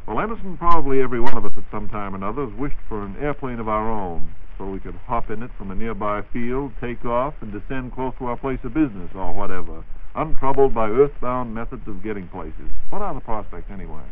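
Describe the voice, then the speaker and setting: Fast-talking American man, vintage radio host. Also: on the low side, expressive. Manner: wry, polished, conversational. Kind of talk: 1940s science radio presenting and interviewing. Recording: vintage 1940s radio, narrow, faint noise.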